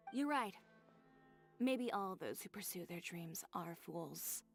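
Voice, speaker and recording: An actress playing a proud, determined young female fashion designer in a game, speaking in a firm, cool, lecturing way. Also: mid-range voice. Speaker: game character.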